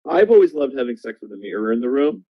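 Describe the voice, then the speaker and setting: A man's voice: mid-range voice, big pitch swings, fast, slightly rough, no echo. A man in his thirties chatting casually as an interview guest over a video call, with slightly dull sound. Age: 30s. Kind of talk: casual chat. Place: small plain room indoors, video call.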